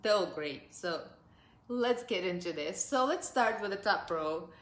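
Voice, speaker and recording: A woman's voice: medium pitch, expressive, measured pace, reverberant. A woman in her forties from Russia with a Russian accent, chatting upbeat through a makeup review from her sofa. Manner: chatty and upbeat, laughs often. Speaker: beauty vlogger. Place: living room, seated on a sofa.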